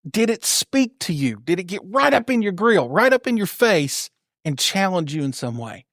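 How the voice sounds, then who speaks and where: Steady man hosting a podcast outro. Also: sits high, normal speed, no echo, clean studio sound. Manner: steady, affirming host who keeps the show moving. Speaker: podcast host. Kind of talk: casual podcast chat with a scripted outro.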